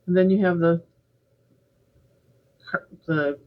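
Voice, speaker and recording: A woman's voice: on the low side, fairly even intonation, slow pace, lo-fi sound. A woman co-hosting a reaction show, commenting casually and piecing her thoughts together aloud.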